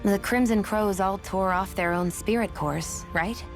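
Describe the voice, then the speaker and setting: An actress playing a blunt, skeptical young adventurer in a video game, with a cool, probing delivery. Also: female voice, mid-range voice, unhurried, close to an everyday voice. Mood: skeptical, pressing. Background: background music.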